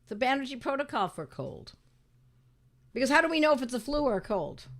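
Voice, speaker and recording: A woman with a raspy voice from draining sinuses, talking casually and emphatically as a homeopathy educator. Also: sits high, brisk. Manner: animated, emphatic, repeats words for effect. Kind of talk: casual monologue.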